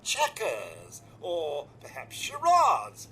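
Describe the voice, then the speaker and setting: A man's voice: a little high, wildly swooping pitch, very slow, sharp tone, slight background noise, full character voice. A male actor playing a formal, fretful robot butler in a video game, with a theatrical British accent. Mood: flustered, polite. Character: fussy, devoted, emotional robot butler. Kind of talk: video game character acting.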